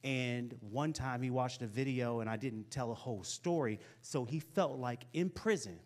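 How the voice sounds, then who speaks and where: A man's voice: medium pitch, normal speed. A man in his forties talks to a room of young people, loose and chatty, nudging them to speak up. His voice sits in an ordinary middle register. He is on a handheld mic in a school hall. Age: 40s. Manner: lively, casual and encouraging, chatty asides. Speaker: motivational speaker. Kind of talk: live talk to a youth audience. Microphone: handheld microphone.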